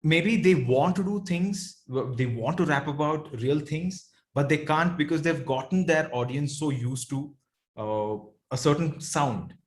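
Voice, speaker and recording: A man's voice: on the high side, a little slow. A man with a South Indian accent speaking as an interview guest, reflective and conversational, often checking in with "right" or "you know". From Bengaluru, India.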